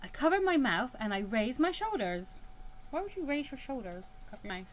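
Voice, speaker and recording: Lively woman playing through a game. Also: high-pitched, a little fast, dull audio, a little hiss or hum. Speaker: let's-player. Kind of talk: casual gameplay commentary, reading visual novel text aloud.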